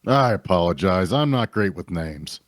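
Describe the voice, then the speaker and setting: Man playing an easygoing tavern keeper, low dry voice. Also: lively intonation, moderate pace, dry recording. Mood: friendly. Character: easygoing, practical male tavern keeper, helpful and plainspoken. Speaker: actor in a table read. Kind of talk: fantasy audio drama table read.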